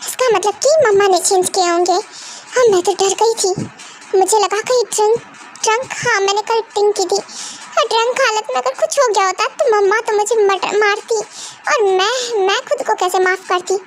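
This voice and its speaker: Brief: a woman doing a whiny teen daughter, sulky and complaining, very high, faint hiss. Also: moderate pace. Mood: relieved. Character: sulky, tipsy teenage daughter. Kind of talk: comic sketch acting.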